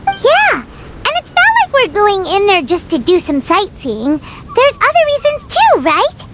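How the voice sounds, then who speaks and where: A woman voicing a tiny, childlike, excitable fairy companion in an anime-style game, squeaky and eager. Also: measured pace, background noise, heavily acted. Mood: uncertain.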